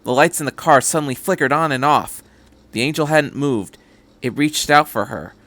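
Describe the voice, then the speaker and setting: A man's voice: mid-range voice, moderate pace, a bit bright, no echo. A man reading a story aloud as a podcast host, in scripted narration. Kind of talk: scripted narration of fan fiction.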